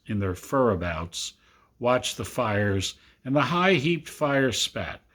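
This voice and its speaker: Man, story reading, lively varied pitch, unhurried pace, expressive amateur storyteller. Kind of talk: reading a literary Christmas story aloud for family.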